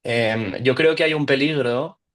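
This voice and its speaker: A young man from Barcelona speaks in a middle-pitched voice. He answers questions at length, thoughtfully, restarting phrases as he works out his point. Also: measured pace, a bit bright. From Barcelona, Spain. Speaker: actor, interview guest. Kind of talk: interview conversation.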